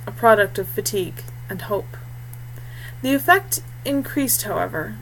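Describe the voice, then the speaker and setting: A woman's voice: medium pitch, a little hiss or hum, poor audio. A woman reading a scripted adventure story as narrator. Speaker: audiobook reader.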